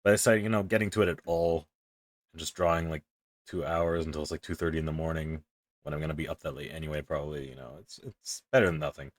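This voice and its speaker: A young man with a very deep, low voice talks through his drawing in loose, rambling chatter, cursing offhandedly and poking fun at himself. The sound is dry and close, with no room around it. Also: steady tone, fast, dry recording. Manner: rambling, self-deprecating, swears casually. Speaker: streamer. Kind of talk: casual commentary while drawing.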